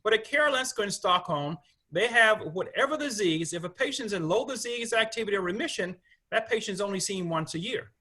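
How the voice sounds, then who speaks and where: A man gives a medical lecture in a high, bright voice. He talks loosely and conversationally, restarting phrases and slipping into short quoted remarks as he moves through his slides. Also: animated, fairly quick. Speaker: rheumatologist giving a lecture. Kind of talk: conference lecture over slides.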